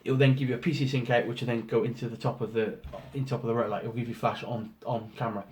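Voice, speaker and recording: Hoarse man rambling through an unboxing. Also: mid-range voice, flat delivery, rapid-fire. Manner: rambling, many ums and restarts. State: hoarse from a cold. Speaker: photographer doing an unboxing. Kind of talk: casual product walkthrough. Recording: roomy echo, faint noise.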